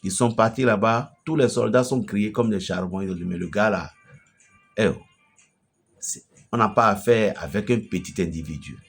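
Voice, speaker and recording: A man from Benin with a Beninese French accent, preaching an emphatic, storytelling message to a fellow pastor. His voice swells loudly at the climaxes. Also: fairly low pitch, moderate pace, gravelly, faint music behind. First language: a local Beninese language.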